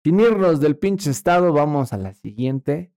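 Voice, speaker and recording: A young man with a Mexican accent who jokes crudely and drops slang as he banters through political news. His voice is mellow and relaxed, recorded close and dry. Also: fairly high pitch, a little slow, no room sound. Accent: Mexican Spanish. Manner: crude joking, laughs often, slangy. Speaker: podcast co-host. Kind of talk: casual comedic political chat.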